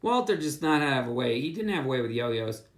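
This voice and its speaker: A pastor reading a children's picture book aloud, with playful asides. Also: male voice, mid-range voice, fairly quick. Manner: animated storytelling with asides.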